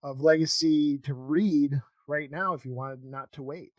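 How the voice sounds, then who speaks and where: A man's voice: fairly high pitch, fairly even intonation, a little slow, no echo. A man hosting a podcast in casual, conversational chat, on slightly dull, lo-fi audio. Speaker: podcast host.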